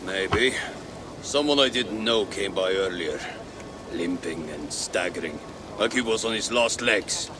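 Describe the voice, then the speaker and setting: An actor playing a laconic, guarded Viking shipbuilder in a video game, answering in a terse, offhand way. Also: male voice, sits low, measured pace, noisy background. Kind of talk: video game cutscene acting.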